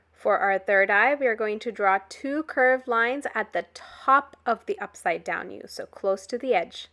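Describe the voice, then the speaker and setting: A woman leading an art activity as a step-by-step tutorial, in a clear, instructional manner. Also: medium pitch, bright, forceful tone.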